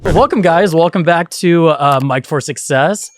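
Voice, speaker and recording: A man's voice: a little high, a little slow, music in the background. A man in his twenties hosting a podcast in a casual, chatty, rambling way. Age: 20s. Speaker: podcast host.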